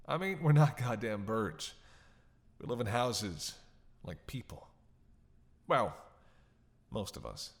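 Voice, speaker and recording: A man's voice: fairly low pitch, sing-song, slow, long pauses, heavily acted. A man playing a captive vampire in a dramatic roleplay, witty, flirtatious and menacing, with a sarcastic, teasing drawl. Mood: mocking. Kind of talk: dramatic roleplay monologue to the listener.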